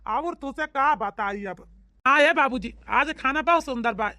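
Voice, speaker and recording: A woman plays a high-voiced village in-law from a family comedy cartoon. The speech has an Awadhi accent and a folksy, emphatic manner, circling back over its points. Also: lively intonation, moderate pace, sharp tone. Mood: delighted.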